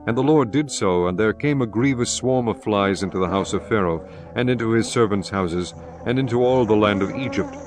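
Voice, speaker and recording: A man narrating scripture in a solemn, measured, scripted style. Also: on the low side. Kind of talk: scripted dramatised Bible narration. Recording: music behind narration.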